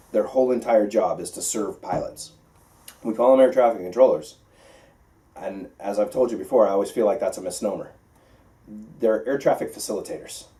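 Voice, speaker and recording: A man with an American accent teaches in an informal, conversational way. His voice is dark and relaxed, on the low side. He drives points home and keeps checking understanding, heard at some distance from the microphone. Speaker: flight instructor teaching pilots. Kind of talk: informal classroom lecture with questions. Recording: distant mic.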